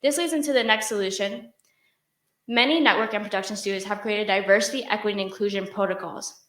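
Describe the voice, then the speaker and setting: A woman's voice: mid-range voice, fast, a bit bright, reverberant. A young woman giving a prepared, earnest speech to an audience, with occasional restarts. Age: young adult. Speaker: student presenter.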